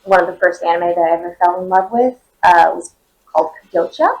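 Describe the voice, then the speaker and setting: A woman's voice: quick pace, creaky, poor audio. Chatty woman with a nasal voice. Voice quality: high-pitched, nasal. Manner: chatty, many fillers. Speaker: voice actor guest. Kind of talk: casual convention interview.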